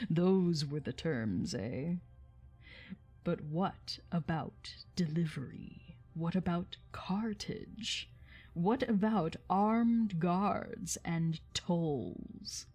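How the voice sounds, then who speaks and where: A woman voicing a boastful, menacing old male dragon in a storybook reading, with slow, drawn-out, emphatic speech. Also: on the low side, darkish tone, soft background music. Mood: mocking.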